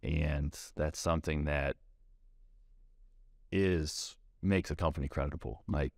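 A man's voice: rumbling low, steady tone, slow pace, gravelly, no echo, studio quality. A man in his thirties, a plumbing salesperson, explaining his work in a practical, detailed way and often repeating words as he thinks. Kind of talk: casual interview answers. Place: TV studio set.

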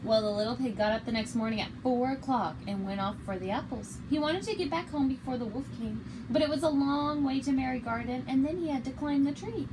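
A woman's voice: sits high, a little fast, hardly any pauses, noisy background. A woman in her twenties reading a children's picture book aloud as a storyteller. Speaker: story reader. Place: small bare indoor room.

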